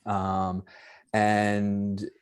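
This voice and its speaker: A man's voice: lowish voice, completely flat delivery, extremely slow, smooth. A man from Boston with a light Boston accent, an anthropologist on a panel, thinking aloud over a video call in a tentative, searching way. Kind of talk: academic panel discussion.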